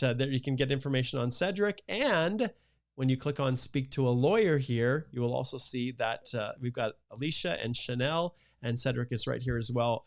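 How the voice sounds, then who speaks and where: A man at an ordinary middle pitch hosts a live immigration Q&A stream. He chats casually, greets viewers by reading out their comments, and fills his pauses with plenty of "uh". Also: creaky. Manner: chatty, many "uh" fillers, reads out viewer comments. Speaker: immigration lawyer, stream host.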